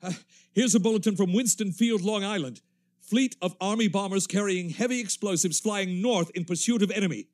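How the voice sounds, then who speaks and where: A high-voiced male news announcer , played by an actor in a vintage radio play. He reads urgent bulletins in clipped, telegraphic phrases. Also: fairly quick, lightly acted. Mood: urgent, slightly flustered.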